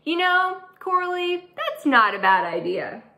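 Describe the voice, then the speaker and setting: A woman's voice: extremely high pitch, big pitch swings, a little slow, gravelly, bright, forceful tone, pitched above the performer's own voice, exaggerated voice. A woman voicing a grumpy, stubborn preteen girl in a storybook. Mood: reluctant. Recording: echoey room.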